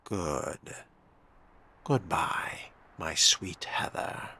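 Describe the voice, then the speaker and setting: Suave, menacing game vampire played by a man: slow, deliberate pacing, crisp forward tone, dry predatory wit. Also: deep voice, bright, forceful tone, slight background noise, full character voice. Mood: silky, pleased. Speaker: player voicing the game's main character. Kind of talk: in-character video game roleplay.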